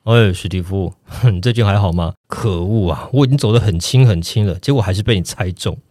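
A man's voice: low voice, a little fast, dark tone, dry recording, clean studio sound. A man voicing male friends from a translated foreign drama, in theatrical dubbing style. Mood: chatty, teasing.

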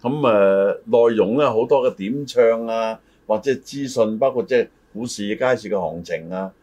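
A man's voice: on the low side, moderate pace, a little mellow, muffled recording. Older man hosting a talk show. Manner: explanatory, often interjects to correct his partner. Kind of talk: casual reminiscing conversation.

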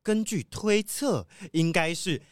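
Earnest cartoon wolfdog sheriff, dutiful, formal, lively intonation, dry close sound, children's radio play. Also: medium pitch, takes it slowly, dry recording. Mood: businesslike. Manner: formal, reporting. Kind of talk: children's radio drama acting.

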